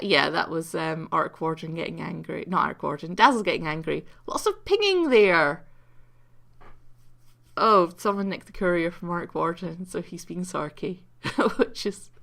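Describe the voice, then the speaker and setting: A woman giving casual, rambling commentary over a video game replay, croaky from having just woken up and mocking her own play between many ums. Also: lowish voice, sharp tone.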